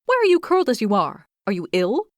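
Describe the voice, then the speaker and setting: A woman's voice: mid-range voice, wildly swooping pitch, a little fast, dry recording, studio quality. A woman voicing a busy, frantic male squirrel who fires off clipped questions.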